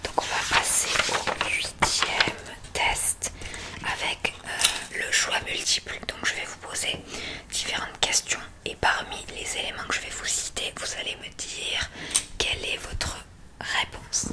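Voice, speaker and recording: A woman whispers through an ASMR roleplay as the one running the tests. Her voice is fully unvoiced and breathy, close to the microphone. She gives soft instructions at a slow, unhurried pace. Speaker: ASMR roleplay host, examiner giving relaxation tests.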